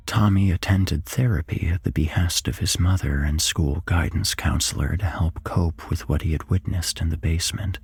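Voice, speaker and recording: A man narrates a scripted horror story in a low, breathy ASMR hush. The delivery is slow and gravelly, captured dry and close to the mic. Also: no echo. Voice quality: hushed, breathy. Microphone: close microphone.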